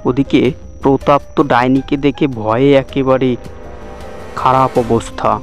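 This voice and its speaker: A man's voice: medium pitch, steady tone, measured pace. A man reading scripted narration for a cartoon story. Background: background music.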